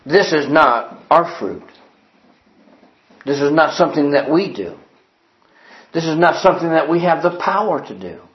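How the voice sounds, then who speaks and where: A fairly high-voiced man preaches in a church with an earnest, emphatic delivery and repeats his key phrases. His voice rings around a roomy hall. The recording sounds dull and lo-fi. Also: animated, moderate pace, long pauses. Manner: quotes old-fashioned scripture wording. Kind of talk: sermon. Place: church building.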